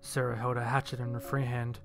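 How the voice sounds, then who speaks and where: Male horror audio narrator, mid-range voice, dramatic storytelling over a clear music bed. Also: mid-range voice, flat delivery, brisk.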